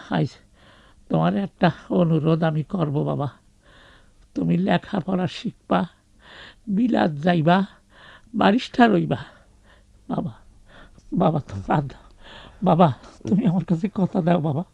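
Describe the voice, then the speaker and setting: Creaky, slow old village father. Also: sits high, no room sound, highs cut off, heavily acted. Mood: weak, pleading. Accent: rural Bangladeshi. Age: older. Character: ailing old village father, doting, pleading, hopeful. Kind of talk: radio drama acting.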